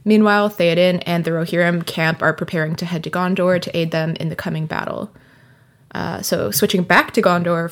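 Chatty woman podcast co-host. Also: lowish voice. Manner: chatty, laughs often, filler words. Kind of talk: casual chat and book synopsis.

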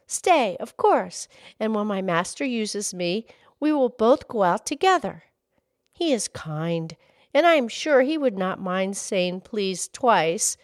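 A woman's voice: mid-range voice, sing-song, slow, dry recording, studio quality, pitched above the performer's own voice. A woman playing a kind little talking word. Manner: kind, reassuring. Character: kind, welcoming little word in a polite boy's mouth.

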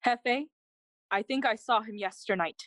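A woman's voice: a little high, a little slow, fairly bright tone, dry recording, studio quality. A woman playing a loyal, earnest young friend of a prince in a bilingual Shakespearean audio play, speaking formally. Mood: hesitant, earnest. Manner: earnest, formal, clearly declaimed.

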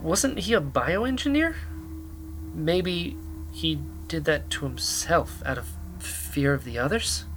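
A nervous, curious young rebel exploring a bunker in a sci-fi radio drama. The delivery swings widely in pitch, hesitant and full of questions. Music plays clearly behind the voice. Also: expressive, slow, a bit bright. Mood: shaken.

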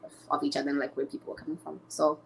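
Chatty, animated young woman from Zimbabwe. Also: low-pitched, racing, creaky, slight background noise, low-quality recording. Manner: animated, chatty, many restarts and laughs. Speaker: interview guest, former reality show contestant. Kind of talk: casual chat.

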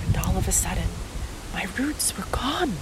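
A woman's voice: mid-range voice, sing-song, normal speed, very noisy, close to an everyday voice. A woman playing an ancient oak tree newly turned human, earnest and full of wonder, in a radio play.

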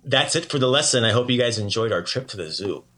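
A man's voice: medium pitch, expressive, fast, pushed and bright. A man hosting a podcast language lesson in a casual, playful and encouraging way. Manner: encouraging, praising the learner often.

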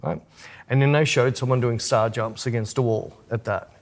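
A man's voice: medium pitch, moderate pace. A man co-hosting a podcast, chatting casually and explaining things with enthusiasm. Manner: chatty, animated when explaining. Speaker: podcast co-host. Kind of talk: casual chat.

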